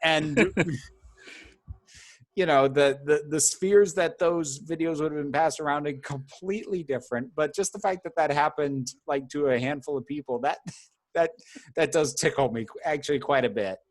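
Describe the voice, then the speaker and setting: A baritone-voiced man, a guest in a casual interview, talking at length in an articulate, chatty way with many "like"s. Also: moderate pace. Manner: articulate and talkative, lots of "like", laughs mid-sentence. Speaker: guest YouTuber.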